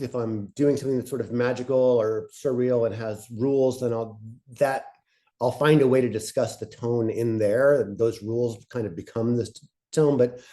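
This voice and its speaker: A man with a soft, mellow voice talks informally as a panelist. He explains his craft in a measured, conversational way, at an ordinary mid-range pitch. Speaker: panelist, fiction podcast director. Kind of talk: informal instructional talk.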